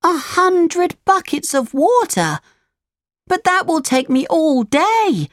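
Lady doing a little wooden puppet boy from a storybook, high pitched, earnest, super bouncy intonation. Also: big pitch swings, takes it slowly, no echo, studio quality, exaggerated voice. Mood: dismayed. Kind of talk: children's storybook character reading.

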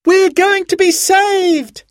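Excitable, caring young girl for a children's story, performed by a man, high-pitched and lively, recorded dry. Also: measured pace, no echo. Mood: excited shouting.

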